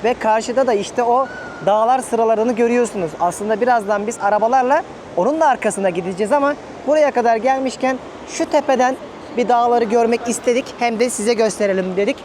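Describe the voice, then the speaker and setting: A man's voice: extremely high pitch, a little fast, a little mellow. Chatty male travel vlogger, faint background noise. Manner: chatty, informal, joking. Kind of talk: casual travel vlog narration to viewers.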